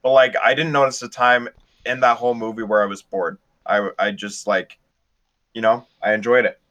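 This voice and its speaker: A man's voice: mid-range voice, fairly even intonation, moderate pace, a bit bright. Casual young man chatting about movies. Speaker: podcast co-host. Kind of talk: casual movie review chat.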